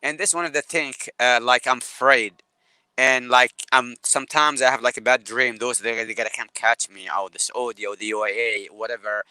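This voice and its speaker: A man from Yemen with a Yemeni Arabic accent, speaking as a guest photographer on a live video call, halting and repeating words as he talks. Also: mid-range voice, fairly even intonation, sharp tone, clean tone, dry recording, poor audio.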